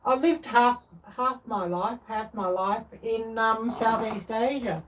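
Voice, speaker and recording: Slow, halting woman, distant noisy mic. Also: a little high, steady tone. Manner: halting, rambling, searching for words. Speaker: live-streaming cooking host. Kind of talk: casual, unscripted live-stream chat. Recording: distant mic, clear background noise.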